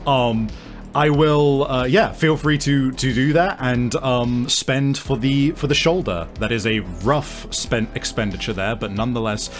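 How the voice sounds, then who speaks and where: A man improvising narration as a game master, halting as he searches for words and swearing casually. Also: medium pitch, faint music behind. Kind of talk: improvised narration of a tabletop role-playing game.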